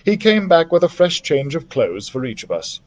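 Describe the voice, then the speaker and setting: A man reading a children's adventure novel aloud as a story-time host, with an animated, theatrical delivery. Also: on the high side, moderate pace.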